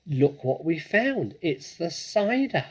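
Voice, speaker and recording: A man voicing an eager, excitable little boy fox from a storybook, with a wide-eyed manner. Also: on the high side, slow, slightly rough, voice pushed up, exaggerated voice. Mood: thrilled.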